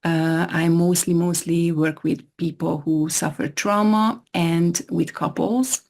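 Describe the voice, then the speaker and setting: A woman with a Hungarian accent who speaks English as her second language, answering as a therapist guest in an interview. She talks hesitantly, often restarting her sentences, in a somewhat low voice. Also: fairly even intonation, takes it slowly, gravelly, darkish tone. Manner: hesitant, many restarts and fillers. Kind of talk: interview conversation.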